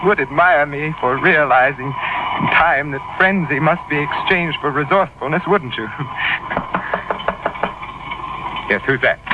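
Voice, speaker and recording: An actor playing a nervous, smoothly sardonic killer who poses as a police inspector in an old-time radio drama, speaking formally and theatrically. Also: male voice, fairly high pitch, natural voice. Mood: frantic, strained. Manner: formal, theatrical, halting self-talk. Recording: muffled, very noisy old radio recording.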